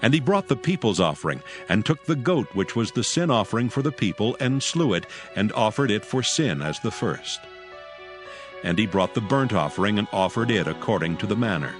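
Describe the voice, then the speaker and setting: Lowish-voiced man narrating scripture over music. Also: very animated. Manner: measured, formal.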